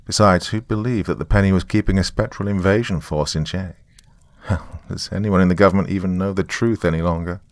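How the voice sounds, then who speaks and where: A man playing a doomed, weary survivor recording a final message in a horror story, hushed and halting with bitter, wry asides. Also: low voice, fairly even intonation, no echo. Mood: bitter, reflective. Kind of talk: dramatic first-person horror monologue.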